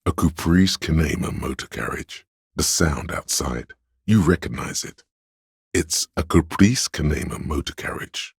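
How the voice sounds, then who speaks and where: A man voicing an inner encyclopedia for a video game, a grave, detached, erudite narrator who states facts in a measured, deliberate way. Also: rumbling low, lively intonation, slightly rough, dry recording, studio quality, full character voice. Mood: dry, informative.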